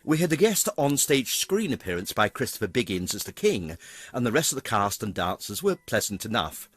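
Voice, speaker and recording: A man's voice: lowish voice, very animated, brisk, a bit bright, husky, no echo. An older British man giving a scripted retrospective narration in a wry, theatrical, wordy manner. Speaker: pantomime performer narrating career retrospective.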